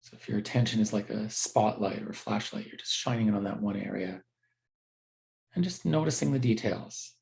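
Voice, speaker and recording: A man teaching a guided meditation in a gentle, unhurried, conversational way with halting pauses. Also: fairly low pitch, gravelly.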